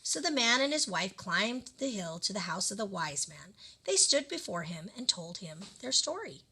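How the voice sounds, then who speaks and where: A woman reading a children's story aloud in an expressive, dramatic storytelling manner. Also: medium pitch, normal speed, husky. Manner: animated, dramatic delivery of characters.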